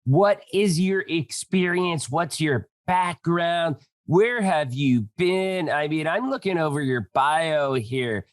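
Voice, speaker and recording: A man's voice: a little high, expressive, slow pace, few pauses, dry recording. A man hosting a podcast interview, chatty and enthusiastic with a joking manner. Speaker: podcast host. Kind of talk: casual interview.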